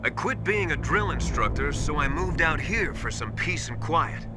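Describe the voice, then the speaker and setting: A man voicing a relaxed, friendly retired male drill instructor, heard over loud music in a game cutscene. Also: on the high side, normal speed, natural voice. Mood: reunion.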